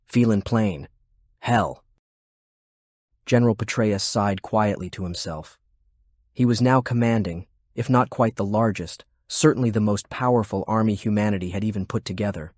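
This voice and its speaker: A man's voice: lowish voice, no room sound, clean studio sound. A man reading scripted war fiction as an audiobook narrator.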